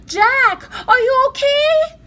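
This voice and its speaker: A woman voicing a bold, excitable young girl with urgent, simple delivery in a children's storybook read-aloud. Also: very high voice, slow pace, voice pushed up, heavily acted. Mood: urgent, frantic.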